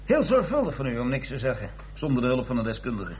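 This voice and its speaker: An actor playing a determined, dryly sarcastic police investigator in a radio crime drama, speaking with deliberate authority. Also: male voice, medium pitch, wildly swooping pitch, darkish tone, a little hiss or hum.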